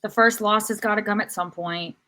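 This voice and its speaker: A woman's voice: medium pitch, steady tone, a little fast, bright, forceful tone. A woman co-hosting a sports podcast, recapping matches in an informal, lightly joking conversational way. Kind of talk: casual match recap chat.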